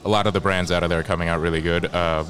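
Deep-voiced easygoing male rum founder. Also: steady tone, rapid-fire, fairly bright tone. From El Salvador and Guatemala. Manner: easygoing, joking. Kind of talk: casual chat.

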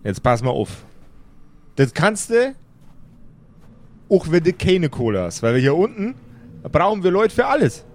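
A man acts out a brash necromancer who runs his undead underworld like a grumbling small businessman. His voice sits fairly high for a man. He rambles in coarse slang and repeats himself as he hunts for words in an improvised fantasy audio play. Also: very animated, a little slow, long pauses, a little mellow, exaggerated voice. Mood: indignant.

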